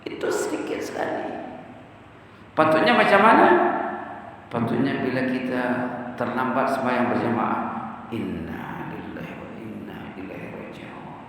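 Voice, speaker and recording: A man's voice: mid-range voice, expressive, unhurried, pauses often, fairly bright tone. A man giving an Islamic sermon to a congregation as an ustaz, in a didactic manner, asking rhetorical questions and answering them, recorded in a mosque hall. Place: large mosque prayer hall. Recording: very echoey mosque hall, distant mic, faint noise.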